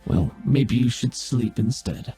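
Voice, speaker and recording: A man voicing a cool, calculating female AI hologram who deals in information, speaking in a dry, measured way. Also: medium pitch, lively intonation, mellow, faint music behind.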